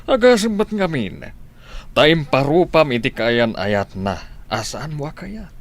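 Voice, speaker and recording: A man's voice: a little high, big pitch swings, takes it slowly, heavily acted. A man playing a lenient, exasperated village father in an Ilocano comedy radio drama, reasoning expressively in a Filipino accent.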